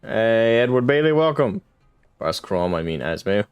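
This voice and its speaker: A man's voice: fairly low pitch, very animated, normal speed, clear, resonant tone, no echo, dull audio, soft background music. Rambling young male game streamer. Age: 20s. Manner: rambling, self-deprecating, laughs often. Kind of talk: casual live-stream chat, reading chat.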